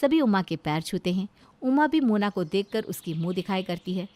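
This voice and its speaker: A woman's voice: medium pitch, expressive, normal speed, warm tone. A woman reading scripted narration for a children's cartoon story.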